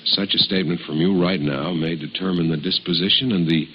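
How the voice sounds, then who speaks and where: An actor playing a stern, pressing deputy district attorney in a radio drama, speaking formally and forcefully with deliberate phrasing. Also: male voice, deep voice, steady tone, lightly acted. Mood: stern, insistent. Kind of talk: 1950s radio drama acting. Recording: muffled, noisy old radio recording.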